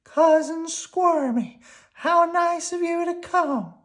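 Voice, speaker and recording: A woman voicing a small, polite and cheerful male pet worm for a storybook, in a thin, squeaky voice. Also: slow pace. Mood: welcoming.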